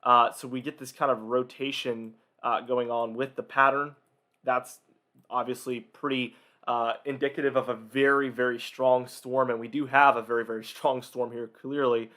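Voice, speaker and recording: A man hosting an informal weather briefing, emphatic in his phrasing, his voice slightly nasal or hoarse from a cold. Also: mid-range voice, little pitch movement, normal speed, sharp tone. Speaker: weather forecaster and video host.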